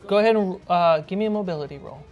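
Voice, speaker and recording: Low-voiced woman running a tabletop game. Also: fast, highs cut off. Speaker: game master. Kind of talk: narrating a tabletop horror role-playing game. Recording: music bed under the voice. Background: background music.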